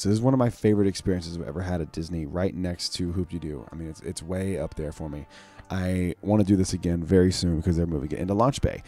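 Guy podcasting solo, super low mellow voice today, casual rambly and into it. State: voice sounding unusually low today. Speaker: podcast host.

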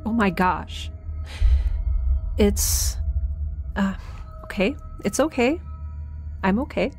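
A woman playing a nervous, wry young scientist who narrates a field log in a halting, conversational way for an audio drama. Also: medium pitch, darkish tone. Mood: shaken, grieving. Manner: halting, self-interrupting, conversational.

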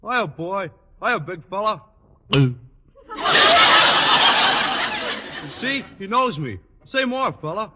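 Naive american teen boy, really high voice, gosh-gee and folksy, old radio comedy, noisy muffled sound. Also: big pitch swings, unhurried. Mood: cheerful, persuasive. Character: eager, naive, good-natured teenage schoolboy. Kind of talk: 1940s radio sitcom acting.

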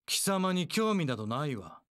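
An actor voicing a cold, haughty warlord strategist in a video game, with archaic, imperious, measured speech. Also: male voice, fairly high pitch, sing-song, fairly bright tone, no echo, clean studio sound. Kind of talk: video game character voice lines.

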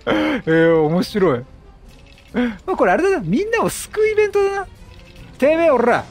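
A man giving excitable, chatty video game commentary, exclaiming often and playfully mimicking the characters. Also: very high-pitched, moderate pace, faint music behind. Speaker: gameplay streamer.

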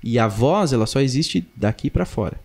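A man's voice: medium pitch, animated, moderate pace, creaky, darkish tone, breathy edge. A young man with a southern Brazilian accent explaining things in casual conversation as a podcast guest, tagging his points with little check-in questions, close on a studio microphone. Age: young adult. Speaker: podcast guest, singing teacher. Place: small indoor podcast studio. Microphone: close podcast microphone on boom arm.

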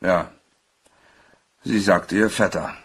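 An actor playing a plain-spoken, slightly guarded shipping clerk in a crime radio play, answering matter-of-factly. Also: male voice, low-pitched, fairly even intonation, slow, breathy edge, exaggerated voice.

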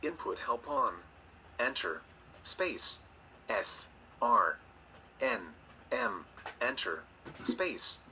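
A synthetic male text-to-speech voice reading out screen text with even enunciation, played through a computer speaker. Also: medium pitch, very slow, long pauses, lo-fi sound. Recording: computer speaker captured with background noise.

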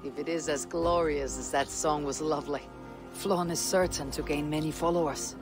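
A woman's voice: low-pitched, steady tone, normal speed, lightly acted. An actress playing a stoic, loyal Viking warrior woman in a dramatic game cutscene. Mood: quiet, reflective. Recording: loud background music under dialogue.

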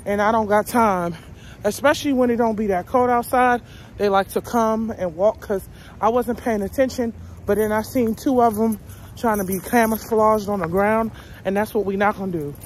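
A woman's voice: medium pitch, moderate pace, slight background noise. A young woman vlogging from her car, chatting bluntly and loosely with plenty of profanity. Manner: rambling, blunt, profane, animated. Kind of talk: casual car vlog chat.

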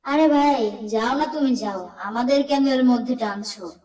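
A woman's voice: on the high side, unhurried, a little mellow, close to an everyday voice. A woman voicing a scornful, fearful cartoon townsperson, mocking and dismissive, in a distant recording with long reverb. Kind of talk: cartoon story dialogue.

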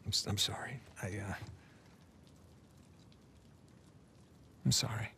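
An actor playing a wry, weary, middle-aged American adventurer in a video game, talking casually and sometimes hesitantly. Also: male voice, lowish voice, fairly even intonation, fast, bright, forceful tone, whispered. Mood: subdued. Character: wry, weary adventurer man, affectionate and easygoing. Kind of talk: cinematic video game acting, naturalistic.

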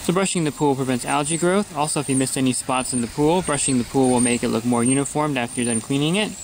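A man's voice: medium pitch, fast, rough recording. A pool service technician talking through his weekly routine in a plain, matter-of-fact instructional voice-over.

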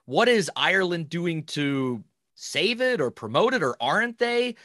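A man's voice: on the high side, sing-song, a little slow, sharp tone, no echo, studio quality. A man hosting a podcast, asking questions casually, with many likes and ums. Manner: conversational, lots of "like" and "um". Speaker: podcast host.

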